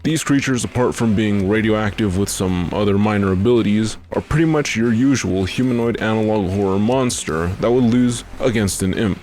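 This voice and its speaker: A man's voice: on the low side, moderate pace, hardly any pauses, darkish tone. A man narrating a scripted video essay as its host.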